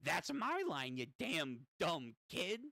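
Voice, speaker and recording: A man's voice: on the high side, sing-song, slow, vocal fry, bright, forceful tone, no room sound, studio quality, exaggerated voice. Man putting on a cocky, drawling little gremlin demon. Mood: irritated.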